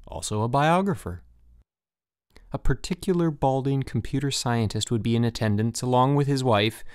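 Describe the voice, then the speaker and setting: A man narrating a literary short story as an audiobook, with measured, deliberate phrasing and careful pauses. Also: medium pitch, dry recording, studio quality.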